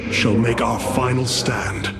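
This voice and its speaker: An actor playing a noble alien commander in a video game, speaking with solemn, stately authority. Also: male voice, mid-range voice, fairly even intonation, takes it slowly. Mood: grave determination. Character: noble, resolute male alien warrior leader. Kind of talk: dramatic video game cutscene acting. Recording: loud orchestral game score behind. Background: loud cinematic music.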